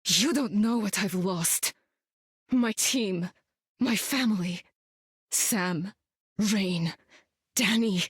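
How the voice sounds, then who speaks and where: A woman voicing a weary, sorrowful underworld ruler in a video game, with a heavy, deliberate manner. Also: mid-range voice, breathy edge, no echo, studio quality, exaggerated voice. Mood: grieving. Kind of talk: video game character voice lines.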